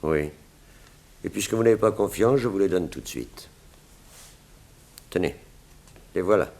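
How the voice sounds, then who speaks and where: An actor playing a cool, enigmatic male sculptor in a 1960s French radio drama, speaking in terse, measured lines. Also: sits low, lively intonation, creaky, a little mellow, full character voice. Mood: coolly intent.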